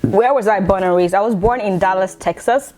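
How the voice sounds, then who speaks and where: Young Nigerian woman, mid-pitched, casual vlogger, chatty and quick to laugh. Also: darkish tone, soft background music. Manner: chatty, laughs often, many restarts. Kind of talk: casual chat to camera. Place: bedroom.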